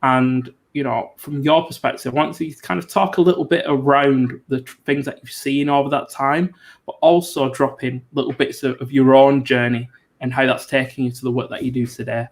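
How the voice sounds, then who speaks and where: A man's voice: mid-range voice, fairly even intonation, moderate pace. A man hosting an interview show, asking long, rambling questions full of fillers. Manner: rambling, many "you know" fillers. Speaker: show host.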